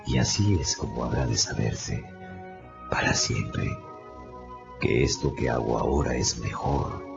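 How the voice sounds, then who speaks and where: A condemned lawyer's last thoughts in a radio drama. The voice is extremely low and creaky, solemn and serene, with a prophetic gravity. Loud music plays behind. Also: male voice, highs cut off, heavily acted. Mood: resolute, peaceful.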